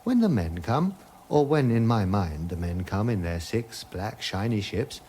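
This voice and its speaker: An actor playing a vague, gentle hermit who doubts all reality, in a radio comedy drama. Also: male voice, on the low side, sing-song, a little slow, slight background noise. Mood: musing. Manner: musing, detached, hesitant, questioning everything.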